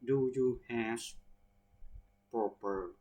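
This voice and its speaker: Male English teacher, Thai accent, careful word-by-word delivery that spells out letters, very slow pace. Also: medium pitch, darkish tone, muffled recording. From Thailand. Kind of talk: reading vocabulary examples aloud.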